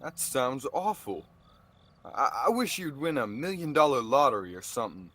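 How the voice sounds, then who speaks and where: A young man acts an easygoing, skeptical husband in radio theatre. His mid-pitched voice moves around a lot. He tends to double back on his words. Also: very animated, normal speed, a bit bright, no echo. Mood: friendly. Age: young adult. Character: easygoing, skeptical, kindly married everyman.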